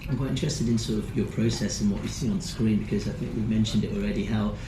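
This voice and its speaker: A man's voice: lowish voice, fast. A man moderating a panel Q&A, asking long rambling questions full of fillers. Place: large screening hall. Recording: echoey hall with audience noise.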